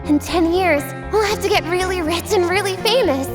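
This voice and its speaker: A woman's voice: very high-pitched, normal speed, over loud music. A woman voicing a proud, ambitious young girl in a manga dub. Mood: earnest, haughty. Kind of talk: anime-style dub of a manga.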